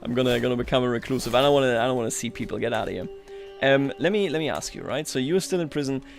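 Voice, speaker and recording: A man's voice: medium pitch, very fast, vocal fry, faint music behind. A man hosting a livestream, chatting casually and thinking aloud over a strategy game in a wry, playful way. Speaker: livestream host.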